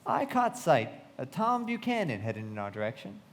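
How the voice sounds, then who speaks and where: A man's voice: mid-range voice, wildly swooping pitch, normal speed. An actor playing an earnest, curious young man who also narrates a live radio play, recorded in an echoey hall. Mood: narrating. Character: curious, naive young man narrating his own story. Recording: echoey hall with long reverb.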